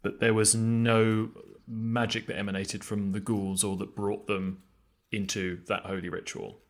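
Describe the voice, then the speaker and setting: A man's voice: on the low side, flat delivery, a little slow. A man improvising roleplay and table talk as a player in a tabletop game.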